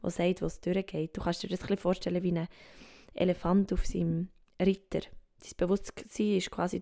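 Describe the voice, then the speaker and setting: Soft-spoken Swiss woman, whispery and gravelly. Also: on the low side. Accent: Swiss German. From Switzerland. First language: Swiss German. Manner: soft, often drops to a whisper, thinks aloud with fillers. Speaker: podcast host. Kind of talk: reflective solo podcast monologue. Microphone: home microphone.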